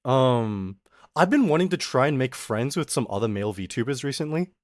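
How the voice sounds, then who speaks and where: A young man streaming as a VTuber, ranting in a casual, animated way. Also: mid-range voice, dry recording, studio quality. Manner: animated, exaggerated, quick mock voices and laughs.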